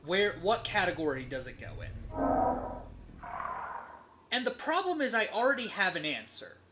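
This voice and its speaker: A streamer telling a story casually and comedically while gaming, with profanity, dramatic pauses and rhetorical tags. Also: medium pitch, big pitch swings, very noisy. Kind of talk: casual comedic storytelling during game play.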